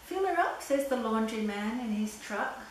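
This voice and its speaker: An older woman reading a children's picture book aloud in a deliberate, playful storytelling way. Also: on the high side, lively intonation. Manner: simple, deliberate reading with playful sound words. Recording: very echoey room, distant mic, background noise.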